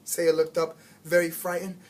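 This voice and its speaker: A man reading a children's book aloud as an expressive storyteller, with dramatic emphasis and drawn-out words. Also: on the high side. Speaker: guest reader of a children's book.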